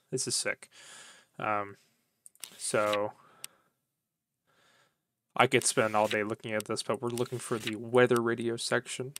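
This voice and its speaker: Male vlogger, bright forward voice, mid pitch, informal rambling delivery with folksy phrasing. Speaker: YouTube vlogger, vintage electronics collector. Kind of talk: casual rambling vlog chat.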